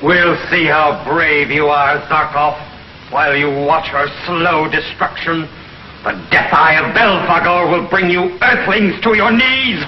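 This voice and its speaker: An actor playing a gloating alien intelligence chief in a 1950s television sci-fi serial, pompous, theatrical and menacing. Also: male voice, fairly high pitch, fairly even intonation, measured pace, natural voice. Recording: muffled, noisy, echoey 1950s TV soundtrack.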